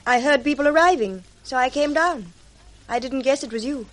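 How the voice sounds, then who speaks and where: Lady acting composed but cutting fiancée, a bit high pitched, clipped and pointed, old radio drama. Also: moderate pace, close to an everyday voice, rough recording. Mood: cool, polite. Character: sharp, defiant, composed female fiancée hiding guilt.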